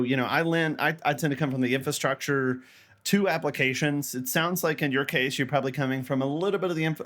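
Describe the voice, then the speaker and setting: A man's voice: mid-range voice, fairly bright tone. A man hosting a casual podcast interview, rambling and repeating words, joking at his own expense. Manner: rambling, repeats words, jokey and self-deprecating. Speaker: podcast host.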